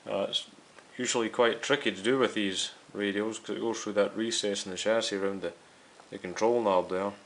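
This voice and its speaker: Low-pitched man explaining repairs, hissy recording. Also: steady tone, a little fast, hardly any pauses, fairly bright tone. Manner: explanatory, addressing viewers directly. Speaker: host of a radio restoration series. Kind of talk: casual hands-on repair demonstration.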